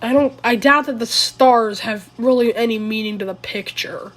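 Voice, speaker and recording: A woman who is sick and raspy, talking through a casual commentary video in a rambling, hesitant way and often correcting herself. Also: fairly high pitch, normal speed, a bit bright, slight background noise. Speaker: video creator.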